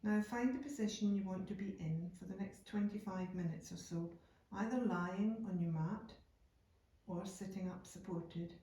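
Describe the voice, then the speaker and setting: A woman guiding a yoga nidra meditation in a calm, unhurried, soothing way, leaving deliberate pauses between phrases. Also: mid-range voice. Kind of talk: slow guided meditation narration. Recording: echoey room, distant from microphone.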